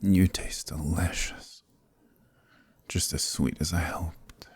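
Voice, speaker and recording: Man acting a very deep, breathy vampire lord. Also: steady tone, no echo, exaggerated voice. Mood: hungry, seductive. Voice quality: breathy, husky, often dropping to a whisper. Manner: slow, commanding, condescendingly tender. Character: centuries-old male vampire lord, possessive, sadistic, silkily domineering. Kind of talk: intimate ASMR roleplay acting.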